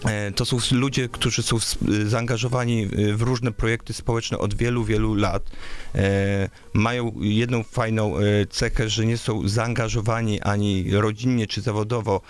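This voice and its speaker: Low-voiced guy in his 30s, lots of ums and restarts, talking into a studio mic. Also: little pitch movement, moderate pace. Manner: hesitant, many filler sounds and restarts. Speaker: candidate for local office. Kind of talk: radio interview answers. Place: small radio studio. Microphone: studio microphone on a boom arm.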